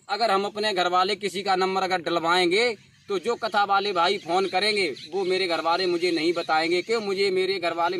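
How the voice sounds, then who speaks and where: A man's voice: high-pitched, pushed and bright, a little hiss or hum. A young man, talking insistently and aggrieved as he defends himself to listeners. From Uttar Pradesh, India. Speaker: dholak player defending himself. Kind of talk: emotional self-recorded statement.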